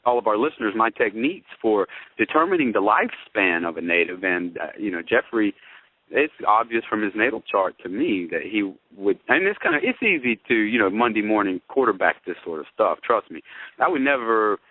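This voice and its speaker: A man's voice: fairly low pitch, expressive, fairly quick, no echo. A man speaking as an astrologer in a conversational debate, with an emphatic, wordy manner and formal vocabulary. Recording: tinny, band-limited radio or phone-line audio.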